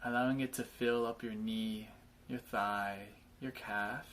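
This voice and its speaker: A man leading a guided body scan meditation, speaking in gentle invitations with frequent pauses. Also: on the low side, fairly even intonation, a little hiss or hum, low-quality recording.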